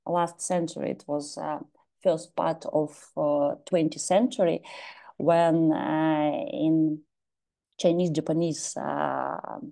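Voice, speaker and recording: Slow gravelly Russian-accented woman lecturing. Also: deep voice, dark tone. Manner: halting, many filler sounds and restarts; lecturing from slides, non-native phrasing.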